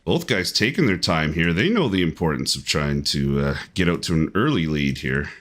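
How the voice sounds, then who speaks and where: A man's voice: extremely low pitch, lively intonation, normal speed, fairly bright tone. A man hosting and commentating a live online golf game tournament in upbeat play-by-play.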